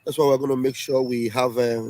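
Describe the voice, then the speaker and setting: A man's voice: medium pitch, level tone, brisk, dark tone. A man hosting a casual livestream, emphatic and repeating himself often. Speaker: livestream host. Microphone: home livestream setup.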